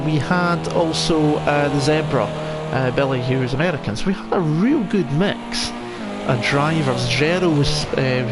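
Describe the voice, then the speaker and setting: A man with a Scottish accent commentating live on an online car race, chatting conversationally with viewers in friendly asides. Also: mid-range voice. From Scotland. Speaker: esports racing commentator. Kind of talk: live sim racing commentary mixed with casual chat to viewers. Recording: loud race car engine noise. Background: racing game car engines.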